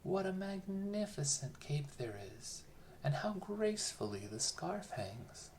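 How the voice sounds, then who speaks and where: A reader voicing gushing, flattering courtiers and townsfolk in a fairy tale, with exaggerated admiration. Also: mid-range voice, slow pace, a little hiss or hum, pitched above the performer's own voice.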